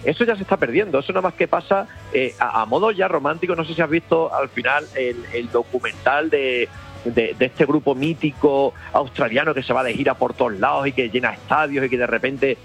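A man's voice: mid-range voice, brisk, a bit bright, faint music behind. A man, a festival organizer talking enthusiastically in a casual radio interview, rambling with many restarts.

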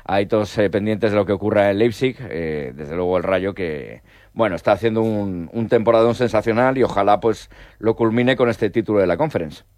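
A man from Spain hosting a sports talk show, talking in a measured way with frequent hesitations. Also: lowish voice, a little mellow, no echo. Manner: measured, hesitant with many fillers. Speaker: host.

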